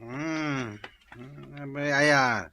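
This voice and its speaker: A man's voice: fairly high pitch, extremely slow, creaky, full character voice. A man acting a hard-drinking, boastful husband and father in a radio comedy drama, slurring and grunting as he swaggers through his lines. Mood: tipsy, dismissive.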